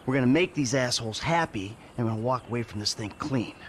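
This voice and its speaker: An actor playing a weary, sarcastic middle-aged ex-robber in a video game cutscene, talking brusquely and swearing. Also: male voice, medium pitch, fast, natural voice. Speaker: game character. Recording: game audio with clear engine noise. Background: traffic and vehicle noise.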